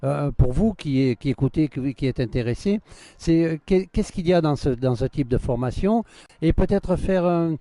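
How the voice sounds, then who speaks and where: A man's voice: fairly high pitch, lively intonation, normal speed, dark tone, dry recording. A man hosting an interview, introducing the guest and asking questions hesitantly with many fillers. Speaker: interviewer, host.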